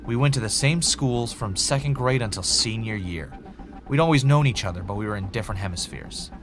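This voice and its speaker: A man acting as a bitter ex-reality show winner confessing in an audio drama. His low voice is reflective and self-justifying, with dramatic pauses, over clear background noise. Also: lightly acted. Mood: wry, reflective. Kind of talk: confessional first-person scripted audio drama monologue.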